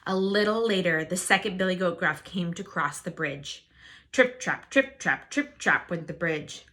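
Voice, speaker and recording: A woman teacher reading a storybook aloud and teaching children, expressive, posing questions to her listeners. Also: fairly low pitch, unhurried. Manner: expressive, asks listeners questions and answers them.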